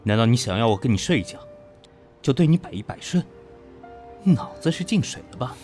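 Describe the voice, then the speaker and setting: A man acting a proud, animated, sharp-tongued businessman. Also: mid-range voice, a little fast, faint music behind, lightly acted. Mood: sarcastic, cold. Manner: curt, sarcastic. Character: proud, sharp-tongued businessman, defiant reluctant male lover. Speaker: voice actor. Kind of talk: Chinese audio drama acting.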